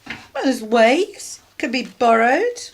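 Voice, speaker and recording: A woman acting in a radio drama as a put-upon, sarcastic working-class wife and mother, wry and expressive. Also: on the high side, slow pace, slightly rough, full character voice. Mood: tentative, hopeful.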